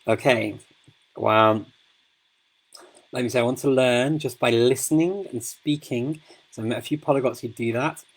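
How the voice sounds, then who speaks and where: A man's voice: mid-range voice, moderate pace, long pauses, poor audio. A man hosting a casual live-stream Q&A, speaking in a chatty, rambling way with many fillers and restarts. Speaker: host of a live Q&A.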